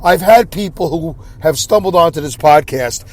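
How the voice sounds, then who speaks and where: A man hosting his own podcast with a scratchy voice, rambling in a self-deprecating way and clearing his throat often. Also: on the high side, expressive, normal speed, darkish tone. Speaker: podcast host. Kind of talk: solo rambling podcast monologue. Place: inside a car.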